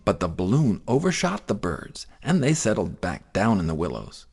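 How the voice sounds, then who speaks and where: A man narrating a children's story in an expressive, theatrical way. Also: on the low side, moderate pace, breathy edge. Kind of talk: dramatic children's story reading.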